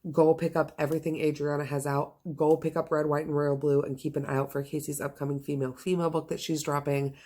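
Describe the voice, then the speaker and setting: A woman's voice: a bass voice, dark tone. A woman hosting a casual book chat, speaking to viewers with gushing, emphatic enthusiasm and stretching the words she stresses. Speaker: book reviewer and video host.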